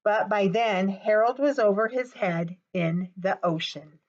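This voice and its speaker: A woman presenting a storytime for young children, talking to them directly in an animated way as she reads aloud. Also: medium pitch, unhurried, dull audio.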